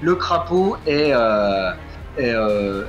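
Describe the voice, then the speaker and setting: A man narrating as game master, with frequent fillers and pauses. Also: medium pitch, animated, dull audio, faint music behind. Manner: hesitant, many fillers.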